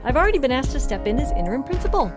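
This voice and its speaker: Audio comedy: firm, loving middle-aged mom, fairly high voice, soft music behind. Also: female voice, sing-song, racing. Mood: fond. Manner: firm, motherly. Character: stern but loving mother and school principal. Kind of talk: comedic audio drama acting.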